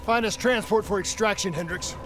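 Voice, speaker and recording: A man's voice: sits high, fairly quick, noisy background, natural voice. A man playing a determined, loyal special operative in a video game, speaking in terse, resolute phrases. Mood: urgent, resolute. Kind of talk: dramatic video game cutscene acting. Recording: music behind dialogue.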